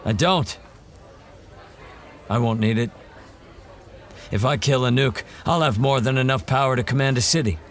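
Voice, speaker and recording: A man's voice: medium pitch, normal speed, long pauses, a faint crowd, natural voice. An actor playing a hardened male monster hunter in an audio drama, blunt and earnest.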